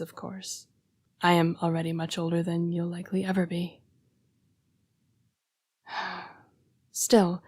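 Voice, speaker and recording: A woman playing a young-seeming, formal and apologetic female vampire in an intimate audio roleplay, breathy and often dropping to a whisper. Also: lowish voice, darkish tone, no room sound. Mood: somber, earnest. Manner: formal, polite, measured phrasing. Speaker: vampire council's correspondence assistant.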